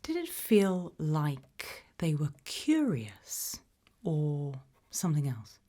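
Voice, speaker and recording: A British woman doing an ASMR roleplay interview, asking gentle questions in a soft, breathy whisper. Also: deep voice, huge pitch swings, takes it slowly. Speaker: ASMR roleplay creator playing an investigator.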